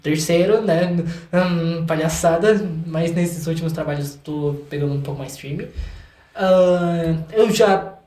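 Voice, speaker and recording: A young Brazilian man chatting casually as an interview guest, an animated storyteller who acts out quotes. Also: on the high side, measured pace. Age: young adult. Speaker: guest, singer and artist. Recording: echoey room with some background noise.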